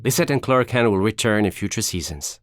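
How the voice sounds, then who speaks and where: A man's voice: lowish voice, lively intonation, fast, over loud music. A man delivering scripted narration and announcements for an audio drama.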